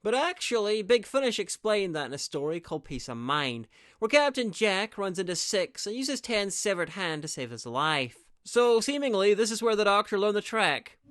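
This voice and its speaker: A man talks through a TV episode in a snarky, mocking recap and drops the odd curse. His voice sits somewhat high for a man. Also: big pitch swings, normal speed, few pauses, a bit bright. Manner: sarcastic, swearing, mocking. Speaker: TV episode reviewer. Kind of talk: comedic recap commentary.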